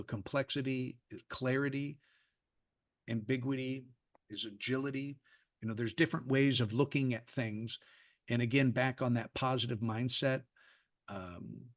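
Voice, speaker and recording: A man hosts a leadership training over a video call, speaking at a middle pitch. His delivery is loose and conversational, full of "you know." The recording is dry with no room echo. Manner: relaxed, filler-heavy, says "you know" often. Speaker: training facilitator. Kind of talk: virtual training presentation.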